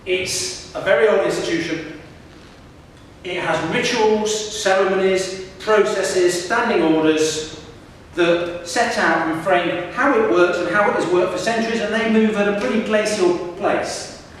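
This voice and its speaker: A middle-aged professor gives a lively public lecture, putting questions to the students in front of him. His voice sits fairly high for a man. He is heard from a distance in a large hall with long, booming reverb. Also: measured pace, a little hiss or hum. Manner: lively, engages the audience with questions. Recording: echoey hall recorded from far off.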